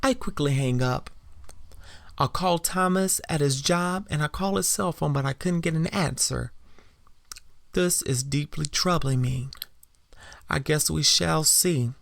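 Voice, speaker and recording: A man's voice: on the high side, unhurried, gravelly, pauses often, dry recording, studio quality. A man telling a first-person dramatic story as a woman character, in a reflective, theatrical manner. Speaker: podcast storyteller.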